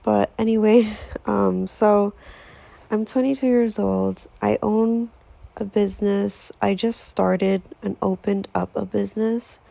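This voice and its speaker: A woman's voice: mid-range voice, steady tone, takes it slowly, creaky, warm tone, no echo. A woman in her twenties hosting her own podcast, chatting casually in a rambling way with lots of fillers. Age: 20s. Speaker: podcast host. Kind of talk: casual solo podcast intro.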